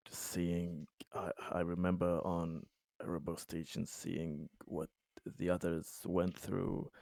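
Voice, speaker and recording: A man playing a tabletop game, discussing decisions in a measured, halting manner. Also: deep voice, creaky, mellow, dry recording.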